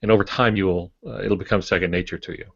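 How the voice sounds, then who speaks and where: An older man co-hosting a podcast, explaining ideas about writing in a measured, casual teaching way. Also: deep voice, steady tone, vocal fry, breathy edge, dry recording. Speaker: podcast co-host, writer and former preacher. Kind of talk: casual instructive discussion. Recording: muffled lo-fi podcast audio.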